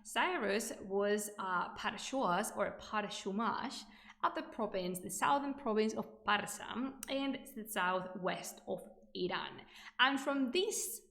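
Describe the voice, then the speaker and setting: A woman's voice: fairly high pitch, fairly bright tone. A woman giving an informal live history lecture as a stream host, chatty and enthusiastic, wandering off into asides. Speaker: livestream host presenting ancient history. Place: indoor room, livestream. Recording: echoey room.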